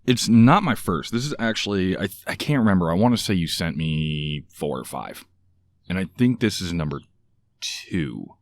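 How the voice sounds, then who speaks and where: A man's voice: deep voice, animated, normal speed, dry recording. A man co-hosting a casual podcast outdoors, joking and rambling through strange comparisons. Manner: rambling, jokey, fond of odd metaphors. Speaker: podcast co-host. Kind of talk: casual chat. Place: outdoors, light breeze.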